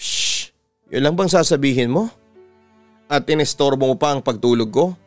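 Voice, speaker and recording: A man's voice: medium pitch, normal speed, no room sound, faint music behind, close to an everyday voice. A man voicing a sullen, resentful young son in a narrated story, curt and switching between English and Tagalog. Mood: sullen, angry.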